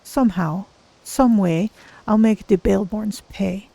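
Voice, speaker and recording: A woman's voice: medium pitch, slow, creaky, dark tone, no room sound. Dying young woman, betrayed, bitter, sorrowful, fantasy game monologue. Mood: vengeful. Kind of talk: video game drama monologue.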